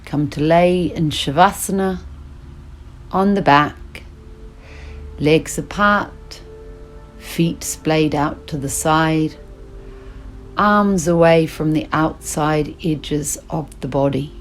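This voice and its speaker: A woman teaching yoga nidra, guiding a relaxation meditation in slow, soothing instructions with long pauses. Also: sits low, steady tone, soft background music.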